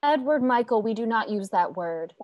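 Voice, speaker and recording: A woman playing a tender but firm, devout mother in a radio drama, speaking in a gentle, measured way. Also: a little high, expressive, no echo, clean studio sound, natural voice. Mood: firmly scolding. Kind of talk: radio drama read-through. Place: home room, remote video call.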